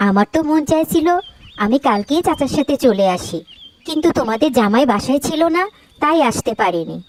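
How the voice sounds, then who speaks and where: A woman plays a loving, supportive young wife in a cartoon. She speaks in a fairly high voice, sweetly and chattily. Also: lively intonation, brisk. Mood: bright, delighted. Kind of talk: Bengali cartoon story dialogue.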